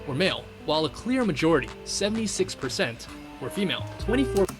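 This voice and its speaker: A man's voice: a little high, quick pace. A man narrating a documentary clip, reading out statistics. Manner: animated, reads out statistics. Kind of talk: scripted narration. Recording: clip with music behind.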